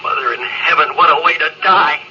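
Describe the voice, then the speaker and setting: A man's voice: on the high side, fairly quick, sharp tone. An actor in a 1950s radio drama playing a frightened, bitter spaceman adrift, with an intense, dramatic delivery. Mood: fearful. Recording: noisy, muffled vintage radio broadcast.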